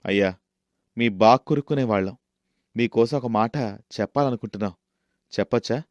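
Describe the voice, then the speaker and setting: A man acts out an honest employee in a moral story, deferential and a little hesitant. His voice is mid-pitched, recorded dry. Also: fairly quick, darkish tone, no echo, muffled recording, lightly acted. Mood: respectful. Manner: respectful, hesitant.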